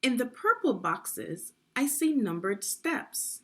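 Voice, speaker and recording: A woman's voice: fairly high pitch, very animated, takes it slowly. A woman narrating a guided read-along for children, speaking in an instructive way and putting questions to young listeners. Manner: clearly enunciated, instructive, addressing young learners.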